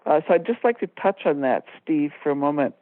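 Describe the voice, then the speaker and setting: A woman co-hosting a radio talk show, explaining her points in a measured, orderly way. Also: deep voice, animated, no room sound. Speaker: co-host and author. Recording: dry tinny phone line.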